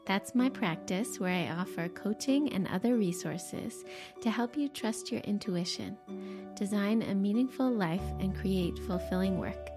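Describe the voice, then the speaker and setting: A woman hosting her own podcast in a soft, quiet voice, a little stuffy and sniffly from allergies, talking gently with frequent ums and restarts. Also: mid-range voice, a little slow, music in the background. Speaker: podcast host and life coach. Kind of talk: solo podcast monologue.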